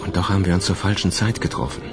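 Actor as extremely deep-voiced, smitten man, music behind. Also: little pitch movement, very fast, close to an everyday voice. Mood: smitten, grave. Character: troubled, smitten man on the run. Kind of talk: German radio crime drama acting.

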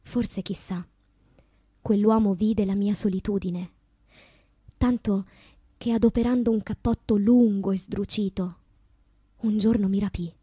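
Radio play: wounded, wistful woman poet, husky and breathy, dramatic deliberate delivery, very dry close sound. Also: medium pitch, steady tone, warm tone, no room sound. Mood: wistful, haunted. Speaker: actress. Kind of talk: theatrical radio monologue of poetic memoir.

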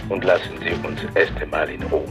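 A man's voice: rumbling low, steady tone, brisk, over music. An actor playing a curt man in a radio drama, weary and dismissive as he sends someone away. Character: curt man brushing off a rich businessman.

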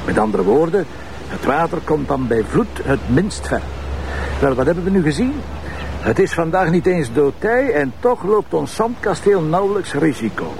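A man's voice: a little high, sing-song, normal speed, vocal fry, background noise. A Flemish man playing a bossy, sardonic police commissioner who lectures and teases his team in a TV crime drama. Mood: wry, lecturing. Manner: commanding, teasing, lecturing. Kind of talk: naturalistic TV crime drama acting.

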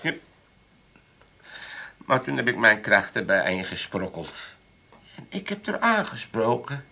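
An actor playing a gruff, sentimental old family father in a comedy radio play, with an Amsterdam accent and a halting, dramatic delivery. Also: mid-range voice, sing-song, bright, forceful tone, heavily acted. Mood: dreamy, excited. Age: older. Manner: dramatic, halting, repeats words when moved.

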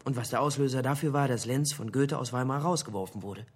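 An actor playing an investigator who briefs colleagues like an eager lecturer in a radio drama, husky and didactic, with emphatic pauses. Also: male voice, medium pitch, close to an everyday voice. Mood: explaining, engaged.

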